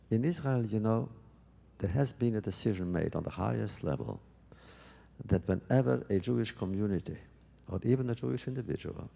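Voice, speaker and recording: A man answering audience questions at a public talk, speaking thoughtfully and haltingly with many restarts. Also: low-pitched, vocal fry, mellow, breathy edge, big-room sound.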